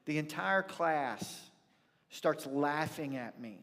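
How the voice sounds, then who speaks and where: A man with a Texan accent preaching in a folksy, conversational way, telling personal stories with emphatic pauses. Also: on the high side, animated, fairly bright tone, a little hiss or hum. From rural North Texas, USA. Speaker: pastor. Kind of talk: sermon with personal storytelling. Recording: reverberant hall, church livestream.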